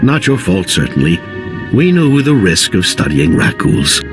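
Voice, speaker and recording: Game scientist: low-pitched, very animated, formal and earnest, music behind. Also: male voice, a little slow. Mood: sombre, weary. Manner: formal, articulate, theatrically emphatic. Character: earnest, formal male scientist, grateful and dryly optimistic. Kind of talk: video game voice acting. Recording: loud music under the dialogue.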